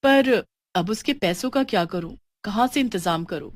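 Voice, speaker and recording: A woman voicing a kind, hard-working young woman devoted to her family, with an earnest, expressive storybook delivery. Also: medium pitch, normal speed, no room sound, lightly acted. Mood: excited, wondering. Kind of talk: dramatised storybook dialogue.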